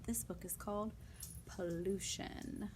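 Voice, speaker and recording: A woman's voice: medium pitch, steady tone, takes it slowly, noisy background. A woman reading non-fiction picture books aloud to young children, adding casual asides, simple explanations and questions to the listeners.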